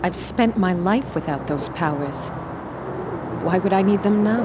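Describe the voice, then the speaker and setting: A woman's voice: on the low side, expressive, a little slow, heavy background noise. A woman playing a resolute, defiant sorceress heroine in a game cutscene, speaking in a terse, commanding way. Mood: cold defiance. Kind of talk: dramatic video game cutscene acting. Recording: very loud music under the voice. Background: loud cinematic game music.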